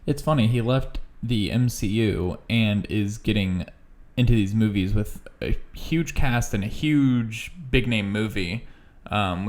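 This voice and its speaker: A man co-hosting a podcast, talking about films in a casual, informal, plain-spoken way. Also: fairly low pitch. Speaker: podcast co-host. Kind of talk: casual film chat.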